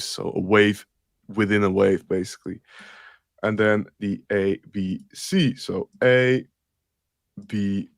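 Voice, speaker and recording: A man's voice: lowish voice, creaky, no echo. A man with an Austrian accent, hosting a live crypto stream and talking through charts with frequent fillers. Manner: rambling, thinks aloud with fillers. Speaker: crypto show host.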